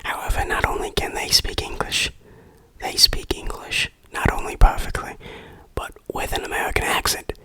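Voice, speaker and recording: A person whispering an ASMR game review in a breathy, unvoiced voice, deliberate and tongue-in-cheek. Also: bright, forceful tone, dry recording.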